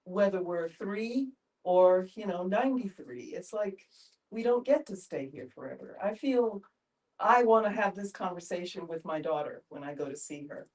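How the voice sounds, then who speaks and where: An older woman talks in a group discussion, picked up from some distance. She is reflective and halting, often searching for the right words. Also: mid-range voice, animated, darkish tone. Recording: distant microphone.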